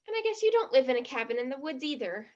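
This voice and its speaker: A woman's voice: high voice, big pitch swings, fast talker, a little mellow. An actress in a stage play as a blunt, skeptical, dramatic teenage girl, in a slightly muffled recording. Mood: indignant, accusing.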